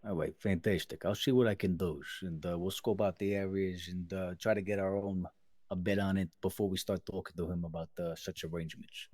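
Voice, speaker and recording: A man's voice: low-pitched, steady tone, brisk, a little mellow, no echo. A man playing a self-important mafia associate in an improvised crime game, using formal, businesslike wording. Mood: eager, formal. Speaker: roleplay player. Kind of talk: improvised in-character crime roleplay.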